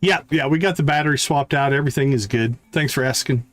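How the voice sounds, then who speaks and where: A man's voice: medium pitch, fast talker, a bit bright, soft background music. A man hosting a livestream, giving casual, chatty commentary as he plays a game. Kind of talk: casual live gameplay commentary.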